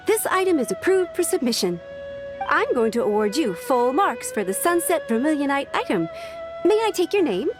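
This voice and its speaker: An actress playing a poised young female secretary in a video game, speaking formally and precisely. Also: sits high. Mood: formal, approving.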